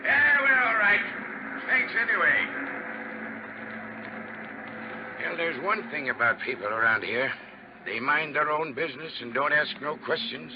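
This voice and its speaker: A man's voice: on the high side, very animated, moderate pace. An American actor playing an older, plain-spoken small-town father with a homespun, rambling manner in 1950s radio drama. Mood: hollering, friendly. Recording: muffled, noisy 1950s radio recording.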